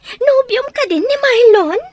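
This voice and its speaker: A nosy, teasing female best friend with an Ilocano accent. Her voice is extremely high and drawn out, swinging about with comic gossip energy in a dry studio. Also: lively intonation, measured pace, a little mellow, no echo. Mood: teasing, gleeful. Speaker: radio drama actress. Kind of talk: Ilocano radio comedy drama acting.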